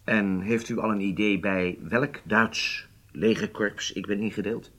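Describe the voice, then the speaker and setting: A man's voice: lowish voice, steady tone, measured pace, fairly bright tone. An actor playing an eager, agreeable undercover agent in a radio drama, speaking deferentially to his superiors. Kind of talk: Dutch radio drama acting.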